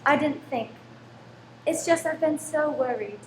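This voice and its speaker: A high, fretful voice of a careworn Victorian landlady acted by a woman in a radio play. She is anxious and sharp-tongued, complaining dramatically. The room echoes, with faint noise behind her. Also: unhurried. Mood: apologetic, hopeful. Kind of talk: old-time radio play acting. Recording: roomy stage recording, faint background noise.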